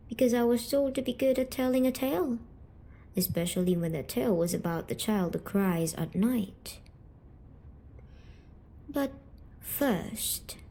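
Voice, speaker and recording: Woman, slow and mellow, soft coaxing ASMR storyteller playing a gentle, eerie night demon, often dropping to a whisper. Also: mid-range voice. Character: gentle, patient, eerie female sleep paralysis demon comforting a dreamer. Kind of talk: scripted ASMR roleplay storytelling.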